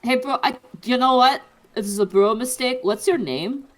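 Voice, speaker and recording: A woman's voice: fairly high pitch, normal speed, close to an everyday voice. A woman playing a friendly druid adventurer who talks like a slangy, chummy bro in a tabletop game. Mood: laid-back, friendly. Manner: slangy, chummy, casual swearing.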